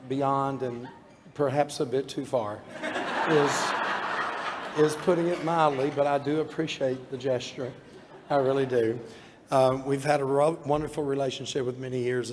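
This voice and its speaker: Hoarse older man in a reverberant hall. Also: medium pitch, a little mellow. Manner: heartfelt, self-deprecating, with small laughs. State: hoarse, losing his voice from illness. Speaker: award honoree giving an acceptance speech. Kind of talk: acceptance speech. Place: large banquet hall at an awards event. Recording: long hall reverb, faint room noise.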